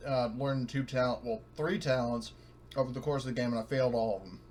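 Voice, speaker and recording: A man's voice: medium pitch, fast, a little mellow. A man who plays in a tabletop game, chatting casually with the group about the rules. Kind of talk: casual chat. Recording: noisy mic with clicks.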